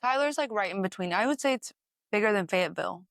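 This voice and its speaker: A young woman from Texas, a student guest chatting in an interview, friendly and attentive with quick reactions. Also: mid-range voice, lively intonation, vocal fry, fairly bright tone, no echo, clean studio sound. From Texas, USA. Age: young adult. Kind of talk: casual interview chat.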